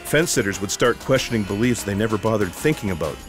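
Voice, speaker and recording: A man's voice: on the low side, lively intonation, quick pace, natural voice. A man playing a disillusioned, wry ex-secret agent, dry and wordy, in a comic sci-fi audio drama with music behind. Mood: resigned, sardonic.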